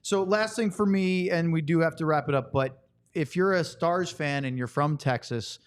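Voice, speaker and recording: A man's voice: fairly high pitch, normal speed, clear, resonant tone. A man hosting a sports talk show in a casual, chatty way.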